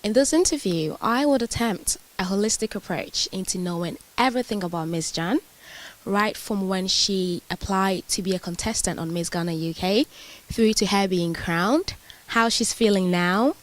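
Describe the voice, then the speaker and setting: A woman's voice: on the low side, rough recording. A woman hosting a magazine interview in a prepared, formally worded way. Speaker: interview host.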